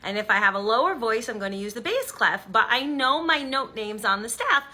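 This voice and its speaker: A woman with a high, bright voice teaches an online music lesson. She walks through each step patiently and keeps encouraging the viewer. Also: expressive, a little fast. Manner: encouraging, explains step by step, sings examples.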